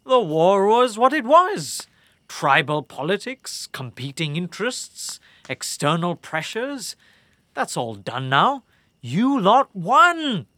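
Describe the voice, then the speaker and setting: A man's voice: sits high, a bit bright, no echo, studio quality, exaggerated voice. A man playing a captured enemy warlord in an audio drama, flippant and cocky, with a theatrical, taunting, wry delivery.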